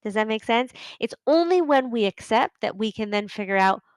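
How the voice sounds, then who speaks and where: A woman's voice: a little high, animated, normal speed, clean tone, dry recording. A middle-aged woman hosting a solo podcast, talking earnestly and conversationally, often checking in with "right?". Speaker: podcast host and coach.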